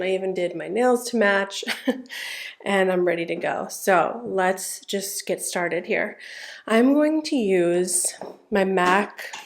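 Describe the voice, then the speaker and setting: Stuffy-nosed woman chatting through makeup. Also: mid-range voice. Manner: chatty, relaxed, narrating each step with fillers. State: stuffed-up nose. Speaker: beauty vlogger. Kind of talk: casual makeup tutorial narration.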